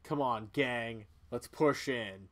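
A man voicing an excitable, reckless young male fox in a video game, loud and exclamatory. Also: medium pitch, takes it slowly. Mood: excited. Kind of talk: amateur video game voice-over.

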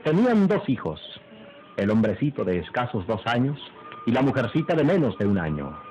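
A man acting as a grandiloquent radio drama narrator with a sweeping, animated delivery. He speaks solemnly in an old, dull recording, with music strong behind him. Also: mid-range voice, moderate pace, natural voice. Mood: ominous, solemn. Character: solemn male narrator of a melodramatic radio serial.

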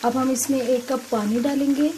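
A woman hosting a cooking video, giving calm step-by-step instructions and softly murmuring a blessing before each ingredient goes in. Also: high-pitched, normal speed. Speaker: cooking channel host. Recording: noisy kitchen recording with sizzling. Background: frying and sizzling in the pan.

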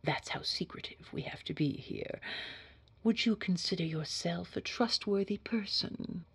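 Low female voice, very breathy, creaky, secretive corporate boss, measured and insinuating, audio drama acted by a woman. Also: a bit bright, full character voice. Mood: controlled, probing. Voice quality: breathy, husky, whispery. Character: smooth, secretive, scary corporate woman boss interviewing a candidate. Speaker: job interviewer. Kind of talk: scripted audio drama dialogue.

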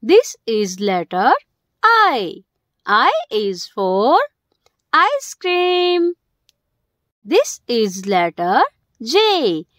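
A woman narrates a lesson for young children in short, simple phrases with the key words stressed. Her voice is fairly high and very animated in pitch. The sound is dry and close. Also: very slow, long pauses, no room sound. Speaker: host of a children's alphabet video.

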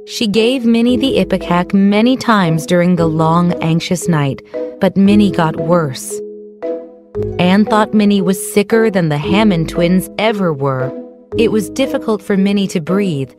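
A woman giving scripted narration for a children's story in a clear storytelling delivery. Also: mid-range voice.

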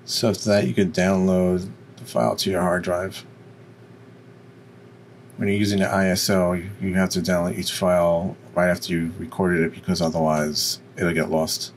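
A man with a deep voice giving an informal, explanatory software tutorial. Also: level tone, moderate pace, a little hiss or hum. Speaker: tutorial presenter.

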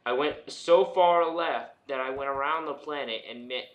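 A man's voice: mid-range voice, normal speed, muffled recording. A man talking casually with a friend while commenting on a video game they play together. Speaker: gamer in a co-op let's play. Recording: echoey room, faint background noise.

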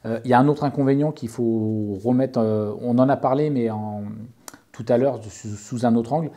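A man's voice: medium pitch, normal speed, warm tone. A man giving informal, methodical explanations and advice as a property owner, rephrasing as he goes. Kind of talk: informal explanatory talk, answering an interviewer.

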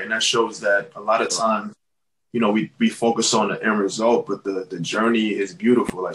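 A man's voice: lowish voice, a bit bright, poor audio. A man in his thirties co-hosting over a video call from home, talking in a reflective, casual way with many "like"s and "you know"s. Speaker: podcast co-host. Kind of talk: casual podcast interview.

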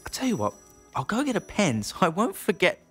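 A woman's voice: lowish voice, a little fast, husky, soft background music, full character voice. A woman playing a naive, chatty young student sidekick, curious and awkward, in a comedy audio drama. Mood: exasperated. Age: young adult. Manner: curious, rambling, deadpan asides.

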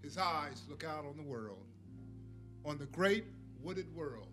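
A man recites verse in a bright, forceful voice, a little high. He reads slowly over faint music. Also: lively intonation. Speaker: community poetry reader. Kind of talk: poetry recitation.

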